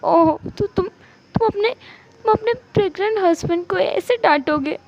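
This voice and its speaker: A woman's voice: very high voice, lively intonation, moderate pace, dull audio. A woman voicing a pouty, dramatic pregnant husband in a told story, whining and repeating accusations. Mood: hurt, sulky. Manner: whiny, repetitive accusing. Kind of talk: amateur storytelling.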